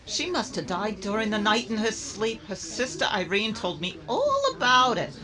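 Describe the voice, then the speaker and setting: A woman's voice: medium pitch, very animated, moderate pace, close to an everyday voice, rough recording. A woman playing an effusive, chatty funeral guest in radio drama. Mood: gossipy. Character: gushing, sympathetic gossiping funeral guest. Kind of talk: live radio horror drama acting. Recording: crowd murmur behind the voice. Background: murmuring crowd.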